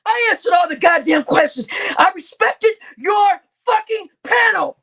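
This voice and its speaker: Very high-pitched, gravelly woman, crying and shouting. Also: animated, measured pace. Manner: shouting, heavy swearing, repetitive outbursts. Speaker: livestreamer. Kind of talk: angry livestream rant to chat.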